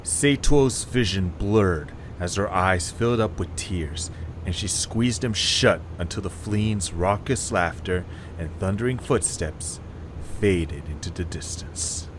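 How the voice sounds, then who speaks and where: A man narrating a fan audio drama in a calm, measured reading. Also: sits low, a little hiss or hum, low-quality recording. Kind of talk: scripted storybook narration.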